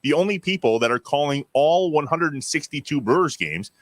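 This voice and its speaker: A man's voice: a little high, animated, a little fast, no echo. An American man co-hosting a casual sports interview. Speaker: co-host, interviewer.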